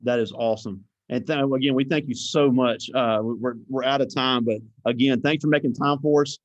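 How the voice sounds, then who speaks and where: A man's voice: medium pitch, steady tone, brisk, dry recording, highs cut off. A man hosting an interview at a school, talking in a rambling way with many restarts and thanks. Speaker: host.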